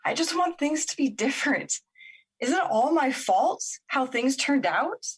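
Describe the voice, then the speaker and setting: A woman's voice: sits high, animated, a little slow, low-quality recording. A woman playing a confused, weary young woman in an emotional audio drama, questioning and emotionally exposed. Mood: despairing. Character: Olivia, confused, weary, vulnerable young woman finding courage.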